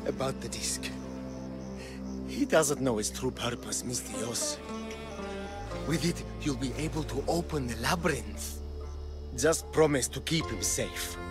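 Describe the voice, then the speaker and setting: An actor playing a guilt-ridden, gentle old Greek man in a video game cutscene, grave and halting. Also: medium pitch, animated, natural voice. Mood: broken, guilty. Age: older. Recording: loud music behind.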